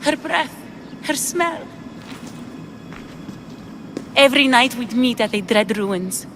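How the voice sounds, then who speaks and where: A woman's voice: on the high side, lively intonation, takes it slowly, a bit bright, a little hiss or hum. A woman playing a grief-stricken woman searching for her lost love, in dramatic video game acting. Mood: anguished, longing.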